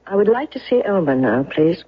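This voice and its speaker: A woman's voice: fairly low pitch, big pitch swings, fairly quick, breathy edge. A woman playing a shrewd, self-assured defense lawyer in a radio drama, with a probing, direct, businesslike manner. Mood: brisk, firm. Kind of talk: 1970s radio drama acting. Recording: muffled old radio broadcast recording.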